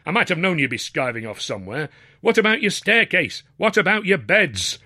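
A man's voice: fairly high pitch, very animated, brisk, pushed and bright, dry recording, studio quality, voice pushed up, exaggerated voice. Man playing an irate, blustering father, voice raised. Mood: indignant. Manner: indignant, haranguing with rhetorical questions. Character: irate, blustering strict father, a college head porter. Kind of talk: audiobook character voice.

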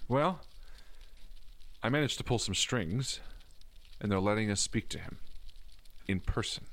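A man's voice: fairly low pitch, expressive, a little slow, a bit bright. A man playing a wry, weary police officer in an audio drama, grumbling and dry in his delivery. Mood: measured, confiding. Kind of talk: naturalistic audio drama acting.

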